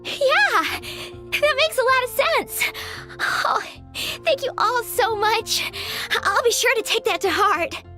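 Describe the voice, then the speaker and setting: A woman's voice: extremely high pitch, slow pace, bright, forceful tone, full character voice. A woman voicing a shy, earnest young aspiring bard in a video game, hesitant and apologetic in the way she talks. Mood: encouraged, grateful. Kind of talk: video game character acting. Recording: music behind the voice.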